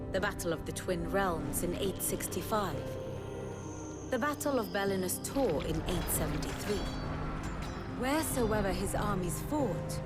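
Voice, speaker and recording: A woman playing a composed, wry scholarly strategist in a video game, speaking in a measured, eloquent, theatrical way. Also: mid-range voice, lightly acted. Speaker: strategist and lorekeeper. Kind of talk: dramatic video game acting, lecturing. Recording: music behind the voice.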